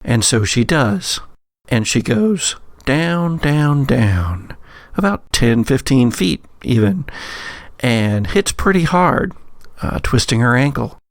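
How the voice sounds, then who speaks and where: A man telling spooky stories as a host and game master, measured, with dramatic pauses and wry asides. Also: medium pitch, big pitch swings, gravelly, dry recording, clean studio sound. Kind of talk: narrated spooky anecdote and game narration.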